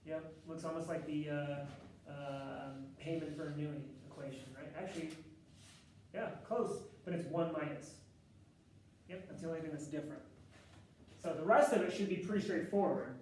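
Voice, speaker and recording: A man teaching a math class with a loud voice, talking casually and thinking aloud with fillers and self-corrections. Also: on the high side, normal speed, a little hiss or hum. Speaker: math instructor. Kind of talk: classroom lecture. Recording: echoey classroom, distant mic.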